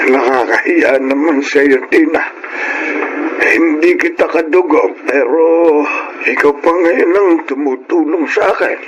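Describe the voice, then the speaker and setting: A man's voice: fairly high pitch, noisy background, close to an everyday voice. An actor playing a frail, sickly old man in a Filipino radio drama, humble and hesitant, with a Filipino accent. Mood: ashamed, grateful. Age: older. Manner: hesitant, halting. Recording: muffled audio under loud music.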